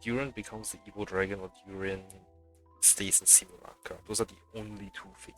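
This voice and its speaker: A man's voice: lowish voice, little pitch movement, brisk, fairly bright tone, faint music behind. A man casually commenting while streaming a video game. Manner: casual, offhand. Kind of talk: let's play commentary.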